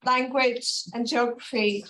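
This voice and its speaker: High-voiced woman host, distant echo. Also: steady tone, takes it slowly, bright, forceful tone. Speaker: event host. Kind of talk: closing remarks. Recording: echoey room from far off, faint noise.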